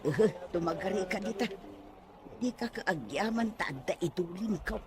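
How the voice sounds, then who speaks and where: A woman playing an excitable, sly, bickering village woman in a radio comedy drama, with an Ilocano accent. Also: medium pitch, moderate pace, lightly acted. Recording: radio drama with faint background noise.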